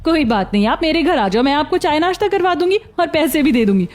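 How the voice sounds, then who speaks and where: A woman voicing an expressive young daughter-in-law in a cartoon story, a former beggar who is fretful yet sharp-tongued. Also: high voice, fast. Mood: cheeky, sarcastic. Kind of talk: Hindi cartoon story voice acting.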